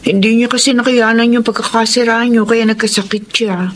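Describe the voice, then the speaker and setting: Actress playing a sorry, pleading filipina friend in a radio drama, pretty flat delivery, faint noise. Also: female voice, on the high side, fairly quick, natural voice. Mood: remorseful, pleading. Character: remorseful, pleading young woman friend.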